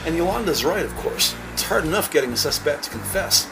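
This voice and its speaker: A man's voice: a little high, expressive, fairly quick, poor audio. A man reading scripted narration for an audio crime drama. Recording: prominent music under the voice. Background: music.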